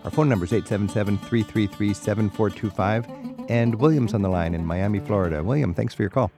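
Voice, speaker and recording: A man's voice: lowish voice, fast, mellow, faint music behind. A man hosting a radio travel call-in show, chatty and leading his guests with questions.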